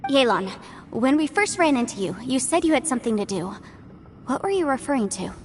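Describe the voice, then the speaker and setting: Earnest, higher-voiced young companion. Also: female voice, sing-song, a little fast, a little hiss or hum. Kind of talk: video game voice acting.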